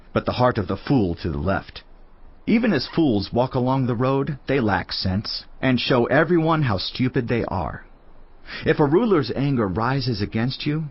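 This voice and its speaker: A man playing a weary, reflective teacher of wisdom in a dramatised audio Bible, husky-voiced, with a measured, earnest, declamatory delivery. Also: medium pitch, big pitch swings, lo-fi sound. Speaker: scripture narrator. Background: music.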